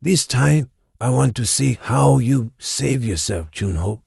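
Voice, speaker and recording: Man playing confident mafia boss, scheming, rough husky timbre, dry close sound, measured audio drama delivery. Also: mid-range voice, expressive, darkish tone, no room sound, exaggerated voice. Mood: menacing. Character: calculating, coldly confident male mafia boss.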